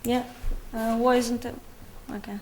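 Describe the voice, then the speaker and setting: A woman's voice: on the high side, flat delivery, measured pace, a little mellow, slight background noise, poor audio. A young woman in her twenties from the West Bank, with a Palestinian British accent, giving an earnest personal testimony as a guest speaker at a university talk. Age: 20s. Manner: earnest, pleading with the audience. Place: university lecture hall.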